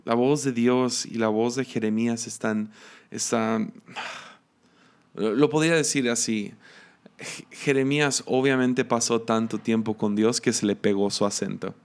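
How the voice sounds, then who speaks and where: A man with a Mexican accent giving a reflective devotional teaching, musing aloud with restarts and soft laughs. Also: mid-range voice, fairly even intonation, unhurried, clear, resonant tone. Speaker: preacher.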